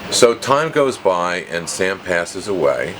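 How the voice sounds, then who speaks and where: A man's voice: deep voice, big pitch swings, takes it slowly. A man in his fifties explaining things in a casual, storytelling way, like a shop dealer talking to a visitor. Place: small cluttered guitar shop, indoors. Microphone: camera mic a short distance away. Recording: noisy handheld camera recording.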